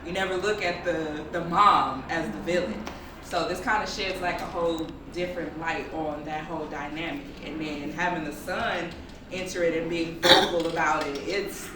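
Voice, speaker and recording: A woman's voice: deep voice, steady tone, moderate pace. A woman giving earnest, casual feedback in a group discussion. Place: indoor room at a group table read. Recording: very echoey room, distant mic, noise.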